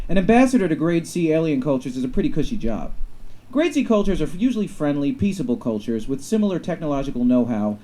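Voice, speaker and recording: A man reads his own short story to a live audience, his delivery dry and wry. His voice is dark and mellow, with a middling pitch. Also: low-quality recording. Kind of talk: live reading of comic sci-fi fiction. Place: live reading event with an audience.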